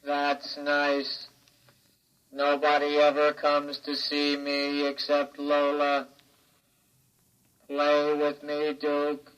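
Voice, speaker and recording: Slow monotone childlike robot. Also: male voice, fairly high pitch, clean tone, dull audio. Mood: innocent. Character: huge childlike robot, innocent six-year-old mind. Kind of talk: 1950s radio drama acting.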